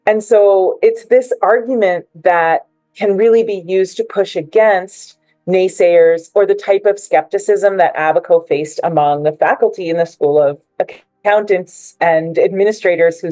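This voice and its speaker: A woman's voice: lowish voice, warm tone, no echo, poor audio. A woman giving prepared, persuasive remarks as a panelist at a book presentation, joining remotely.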